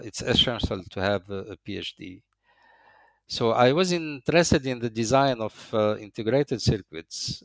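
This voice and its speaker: Older man from morocco, lowish voice, stops and restarts a lot, dry close sound. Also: no echo. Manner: slow, halting, many uh fillers and restarts. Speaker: interviewee, engineering professor. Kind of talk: hesitant interview answers.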